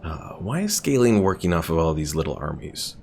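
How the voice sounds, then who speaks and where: A man's voice: rumbling low, sing-song, a little fast, a little mellow, a little hiss or hum. A man streaming a strategy game, chatting casually and self-deprecatingly about his battles and answering his chat. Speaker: gaming streamer. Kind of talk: casual gameplay commentary.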